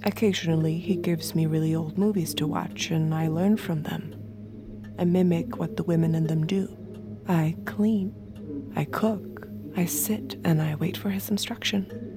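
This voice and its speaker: A woman playing an obedient, observant android escort, speaking in a measured, intimate way in an audio drama. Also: fairly low pitch, fairly even intonation, music in the background. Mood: detached, wistful. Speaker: narrator and lead character.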